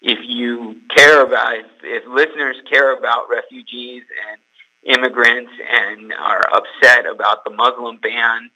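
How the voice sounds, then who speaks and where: A man's voice: mid-range voice, steady tone, unhurried, pushed and bright, rough recording. A young man from California, a guest on a podcast, who talks hesitantly and repeats words. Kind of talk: casual podcast interview.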